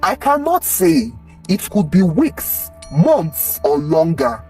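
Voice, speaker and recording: A narrator doing a stern, slow village chief priest. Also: mid-range voice, huge pitch swings, mellow, breathy edge, far from the mic, soft background music, heavily acted. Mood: stern, solemn. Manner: grave, scolding pronouncements.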